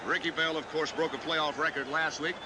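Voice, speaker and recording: A man doing play-by-play for a football game, speaking in a slow, measured way over a loud stadium. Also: on the high side, steady tone, background noise. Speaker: play-by-play announcer. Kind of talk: live sports commentary.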